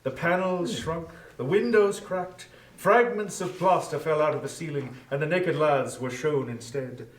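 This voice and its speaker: A man narrating a classic story as a dramatic, expressive scripted reading. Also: fairly high pitch, a little slow, few pauses. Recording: echoey room, distant from microphone.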